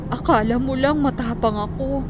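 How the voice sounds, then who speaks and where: Babaeng gumaganap na kabadong dalaga, napakatinis ng boses. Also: female voice, high voice, moderate pace, heavy background noise, close to an everyday voice. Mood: nervous. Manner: hesitant, fretful. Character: anxious, sweet young girlfriend, flustered and timid. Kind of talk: radio drama acting.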